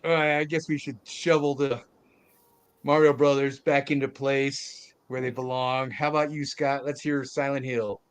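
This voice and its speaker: A man's voice: medium pitch, little pitch movement, normal speed, smooth. A man leading a casual film review panel, talking hesitantly and repeating himself.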